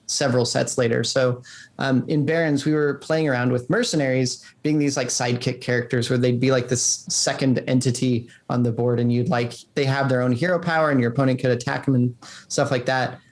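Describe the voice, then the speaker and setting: A man speaks in a casual interview as a game designer. His voice sits at a middling pitch, and he talks informally, feeling his way through sentences with plenty of filler words. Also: steady tone. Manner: informal, halting, frequent "like".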